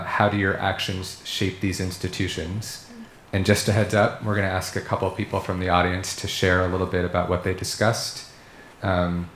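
A middle-aged man runs a student panel in a university seminar room. His voice sits a little low and carries echo from the room, with faint background hiss. He talks in a friendly, organized way, with plenty of "uh" fillers. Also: a bit bright. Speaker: panel facilitator. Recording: echoey room.

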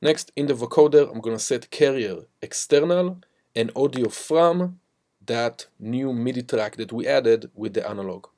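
A man teaching music production, walking through software steps in an informal, instructional way. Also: mid-range voice, animated, normal speed. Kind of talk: step-by-step software tutorial. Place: voice-over to a screen recording.

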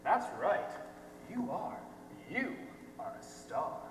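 Far off in an echoing theatre, a young man plays a brash reporter on stage. He swings his pitch widely and punches his words in slangy, theatrical bursts. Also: very animated, slow, full character voice. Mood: indignant. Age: young adult. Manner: theatrical, slangy, emphatic delivery. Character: brash, fast-talking male reporter exposing a scandal. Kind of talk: amateur stage play acting. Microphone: distant microphone. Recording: echoey theatre hall from far off, noisy.

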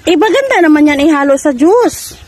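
A woman's voice: sits high, fairly quick, crowd noise. A woman in her thirties vlogging casually on a farm, chatty and laughing easily as she picks and tastes fruit. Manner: chatty, laughs easily, excited when pointing things out. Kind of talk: casual chat while picking fruit. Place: outdoor farm orchard. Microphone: handheld phone camera.

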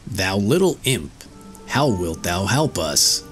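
A man's voice: sits low, very animated, takes it slowly, faint music behind, exaggerated voice. A man voicing two furtive grown men, thieves in an old fairy tale, speaking warily. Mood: wary.

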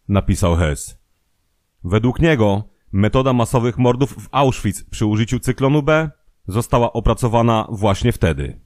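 A man's voice: medium pitch, a little mellow, dry recording, clean studio sound. A man narrating a scripted historical true-crime audio programme.

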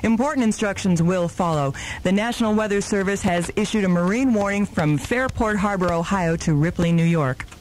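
A woman's voice: medium pitch, fairly quick, few pauses, darkish tone, slight background noise, poor audio. A woman announcing as a music radio disc jockey, recorded off air in the 1980s. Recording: 1980s radio aircheck tape.

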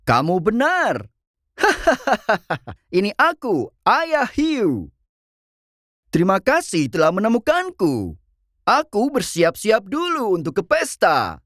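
An actor voicing a cheerful, chuckling daddy shark in a children's cartoon. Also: male voice, sits high, huge pitch swings, slow pace, long pauses, a bit bright, no echo, studio quality, full character voice. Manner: chuckling, playful. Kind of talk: cartoon voice acting.

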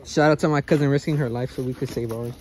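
A young man vlogging a hike with loud, slangy, joking talk, swearing and dropping Spanish phrases, filming on a phone held at arm's length outdoors. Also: mid-range voice, fairly even intonation, quick pace, poor audio. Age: young adult. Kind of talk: casual hiking vlog. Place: outdoors on a hillside trail and in a car. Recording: phone audio with clear background noise.

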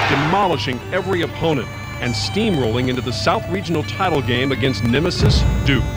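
A man delivering a steady, scripted news voice-over of a basketball season's highlights. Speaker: news sports reporter. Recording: voice-over under loud music.

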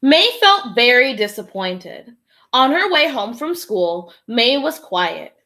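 A young woman reading a children's storybook aloud like a teacher, in an expressive, deliberate storytelling manner. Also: on the high side. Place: small indoor room with classroom decorations. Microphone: webcam or laptop at arm's length.

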